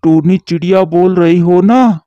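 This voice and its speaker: A scheming male crow villain from a cartoon, voiced by a man, issuing slow, menacing threats in a high, mellow voice. The sound is dull and lo-fi. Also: no room sound.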